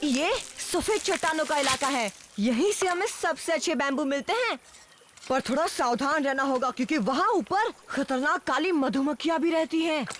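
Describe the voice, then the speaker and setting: A woman voicing a bold, headstrong jungle boy in a Hindi cartoon dub, lively and quick to argue. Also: sits high, lightly acted. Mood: guiding. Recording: studio voice under loud cartoon music.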